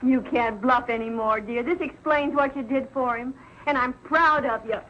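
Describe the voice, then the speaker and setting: An actress playing a warm, proper, understanding wife, well-spoken and affectionate, in an early television sitcom. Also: female voice, a little high, moderate pace, close to an everyday voice. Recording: muffled, noisy old 1940s broadcast.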